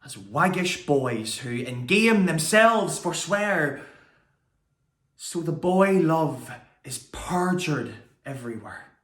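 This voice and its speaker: Man acting a lovelorn, slow-speaking Shakespearean young man. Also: a little high, animated, a bit bright, roomy sound, pitched above the performer's own voice. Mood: bitter, rising anguish. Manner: deliberate, drawn-out delivery with weighted pauses. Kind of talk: Shakespearean verse monologue.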